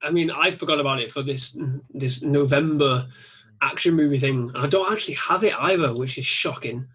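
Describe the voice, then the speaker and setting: A man's voice: a little high, moderate pace, a bit bright, breathy edge. A man chatting casually on a podcast about action films, swearing freely. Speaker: podcast guest. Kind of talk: casual film chat.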